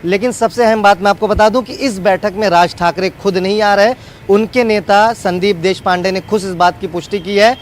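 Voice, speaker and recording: A man's voice: high-pitched, steady tone, normal speed, a little hiss or hum. A man giving a wordy, unscripted live news report as a field reporter, often repeating set phrases.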